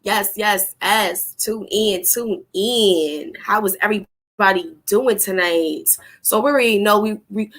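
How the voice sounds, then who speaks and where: A woman's voice: mid-range voice, fairly bright tone. A woman with a New York accent hosting a casual live chat, hyping up listeners and repeating her phrases. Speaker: host of a live show.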